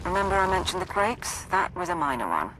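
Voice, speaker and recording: A woman playing a composed military support officer in a video game, briefing in a brisk, matter-of-fact way. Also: fairly low pitch, big pitch swings, noisy background. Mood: calm, businesslike. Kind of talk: video game dialogue over comms.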